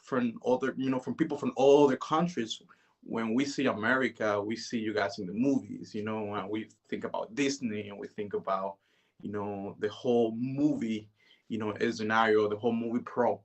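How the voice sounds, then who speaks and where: A man in his thirties with a fairly low voice. He talks through his life story in a relaxed, unhurried way, pausing often and leaning on fillers. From Dominican Republic. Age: 30s. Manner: relaxed, hesitant, many "you know"s. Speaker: interview guest.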